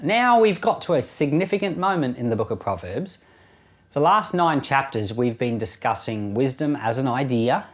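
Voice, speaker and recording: Guy pastor reading bible verses, animated swoopy voice, mid pitch, chatty. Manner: casual explaining with fillers and restarts; emphatic verse-by-verse scripture reading.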